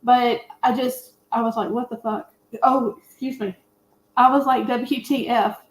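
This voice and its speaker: A woman's voice: on the high side, steady tone, unhurried. A woman chatting casually as a panelist giving her take on films. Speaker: podcast panelist discussing films. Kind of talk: casual chat. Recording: roomy echo with clear background noise.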